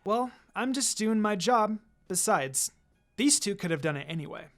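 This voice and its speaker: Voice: mid-range voice, expressive, normal speed, faint music behind, lightly acted. A narrator voicing a sly, unbothered young female ninja with a dry, mocking, understated way of talking. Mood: casual.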